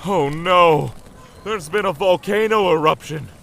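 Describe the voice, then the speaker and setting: A man voicing a prankish young man in an audio drama, announcing fake disasters in a mock-dramatic, loud way. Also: high-pitched, measured pace, vocal fry, noisy background. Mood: mock panic. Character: prankish young man faking alarming news.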